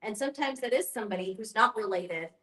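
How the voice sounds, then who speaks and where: A woman's voice: medium pitch, lively intonation, fast, sharp tone. A woman leading a group discussion as facilitator, speaking in an earnest, explanatory way with emphatic repetition. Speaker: guest facilitator, end-of-life educator. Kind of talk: guided group discussion with personal anecdotes. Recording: echoey room.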